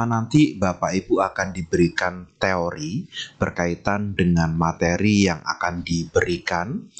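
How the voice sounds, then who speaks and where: A man acting as trainer, giving an online training lecture and reading through slide points while politely addressing his listeners. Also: deep voice.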